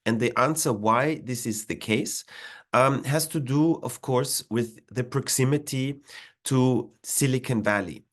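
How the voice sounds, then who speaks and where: A man with an Austrian accent, a diplomat presenting over a video call, with frequent "uh" fillers and hesitations. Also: medium pitch. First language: German. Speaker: diplomat giving a webinar talk.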